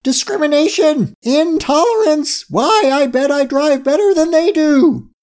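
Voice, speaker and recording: A storyteller voicing an excitable, bossy, fierce little female hamster with an indignant, commanding delivery. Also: medium pitch, unhurried, pitched above the performer's own voice. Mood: indignant rage.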